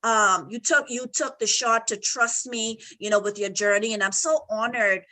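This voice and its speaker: A woman's voice: on the high side, normal speed, pushed and bright. A woman marriage coach in a faith-based conversation, emphatic and encouraging, repeating her phrases.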